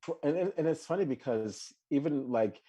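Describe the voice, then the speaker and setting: A man co-hosting a podcast in a casual live chat, talking animatedly with many restarts and high laughing outbursts. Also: expressive, normal speed, a loud crowd. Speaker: podcast co-host.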